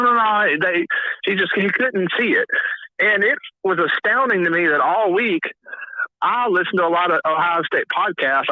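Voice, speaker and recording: Man calling in, tinny dry phone line, slightly high voice, rambling. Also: no echo. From Mississippi. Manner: rambling, many restarts and fillers. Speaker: caller. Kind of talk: sports call-in commentary.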